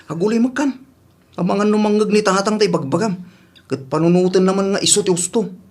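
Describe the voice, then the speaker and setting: A man's voice: high-pitched, a little fast, darkish tone. A man as a casually persistent grown son. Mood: resentful. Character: reassuring but distrustful grown son. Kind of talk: radio drama acting.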